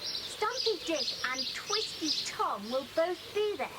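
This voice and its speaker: Bossy, high-voiced scheming schoolgirl. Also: lively intonation, slow pace, heavily acted. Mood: mock solemn. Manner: boastful, emphatic, conspiratorial. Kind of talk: children's audiobook dramatisation. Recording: noisy old cassette transfer.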